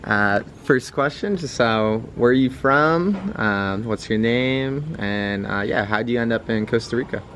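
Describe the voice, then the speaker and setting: A man's voice: mid-range voice, expressive, measured pace, hardly any pauses, fairly bright tone, a little hiss or hum. A man interviewing a traveler, asking questions in a hesitant, filler-heavy way. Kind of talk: casual interview chat.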